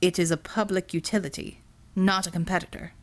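A woman's voice: fairly low pitch, fairly even intonation, fairly quick, lightly acted. A woman voicing a deferential, fretful Indian businessman in an audiobook, formal and hesitant in manner. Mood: indignant. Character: anxious, deferential Indian businessman, stiff and easily offended.

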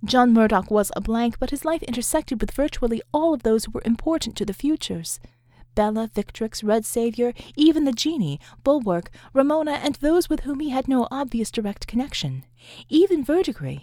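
Lady audiobook narrator, kinda high voice, measured dramatic reading, no room echo at all. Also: expressive, dark tone, clean studio sound. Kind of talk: scripted dramatic fiction narration. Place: close, dry recording space.

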